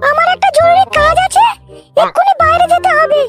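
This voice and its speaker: Piercingly high brash cartoon wife. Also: female voice, fairly even intonation, fairly quick. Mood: defiant, annoyed. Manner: brash, complaining. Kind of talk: comic cartoon dialogue. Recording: loud tinkly children's music behind. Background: tinkly children's music.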